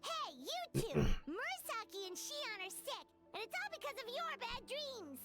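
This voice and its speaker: A woman voicing a tiny, chatty, excitable female companion in a video game, who talks about herself in the third person. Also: very high-pitched, a little slow, over music, heavily acted. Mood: scolding. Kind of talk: game dialogue.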